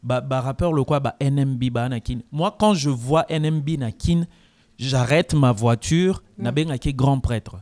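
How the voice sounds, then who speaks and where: A man speaks at a press conference. His voice sits at a middle pitch, and he answers in a measured, reflective way, dropping Lingala phrases between his sentences. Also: a little mellow. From Congo. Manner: mixes French with Lingala, reflective.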